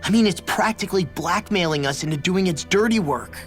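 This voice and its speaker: A woman's voice: on the low side, brisk, faint music behind, lightly acted. A woman playing a brash, skeptical, outspoken youngster in a video game, blunt and incredulous. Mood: indignant. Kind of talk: video game cutscene acting.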